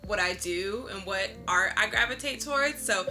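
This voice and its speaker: An American woman chatting casually as an interview guest, telling stories in an expressive, informal way. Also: medium pitch, normal speed, faint music behind. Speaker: interview guest, visual artist.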